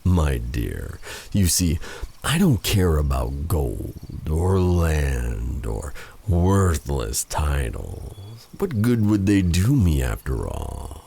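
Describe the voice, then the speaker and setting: Very deep, menacing dark sorcerer. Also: male voice, sing-song, vocal fry, slightly rough, heavily acted. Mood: smug, teasing. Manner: taunting, theatrical, drawn-out delivery with purred endearments. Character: vengeful, silky, menacing male exiled dark sorcerer. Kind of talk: ASMR fantasy audio roleplay.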